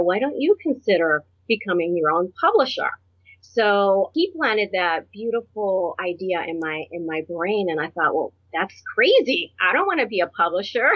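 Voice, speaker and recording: A woman's voice: mid-range voice, husky, dry recording, lo-fi sound. A middle-aged woman from Puerto Rico, a guest on an interview show, tells her story in an earnest, reflective way and laughs easily. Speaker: guest, author and publisher.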